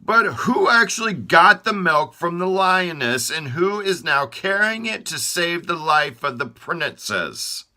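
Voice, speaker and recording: A man's voice: a little high, expressive, measured pace, few pauses, voice pushed up, exaggerated voice. A man voicing boastful talking hands, declaring proudly. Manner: loud, boastful.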